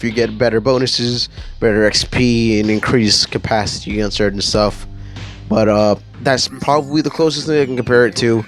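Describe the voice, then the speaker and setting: A man hosting a gaming channel, casually reading through patch notes and rambling with many fillers and restarts. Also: lowish voice.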